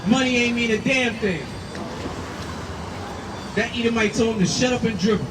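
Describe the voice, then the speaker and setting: A man preaching outdoors, forceful and emphatic, repeating his points. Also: extremely high pitch, normal speed. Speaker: street preacher. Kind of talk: street preaching. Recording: distant mic with loud street noise.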